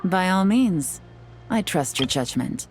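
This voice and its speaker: Low-voiced, shrewd woman agent. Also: expressive, slow, soft background music. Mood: composed, businesslike. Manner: poised, polite, sly. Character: cool, shrewd young woman intelligence agent, composed and teasing. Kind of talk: anime video game voice acting.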